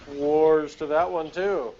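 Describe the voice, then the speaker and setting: A man's voice: fairly high pitch, steady tone, slow pace. An American man chatting casually as co-host of a TV review, in a wry, joking manner. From United States. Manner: wry, joking, often chuckling. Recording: dull, lo-fi audio with clear noise.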